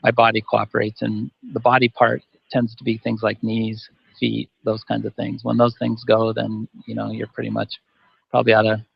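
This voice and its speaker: A man's voice: on the low side, monotone, normal speed, vocal fry, husky, no room sound. A man in his fifties answering on a panel, rambling and restarting his sentences often. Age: 50s. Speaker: masters runner guest. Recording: dull dry audio.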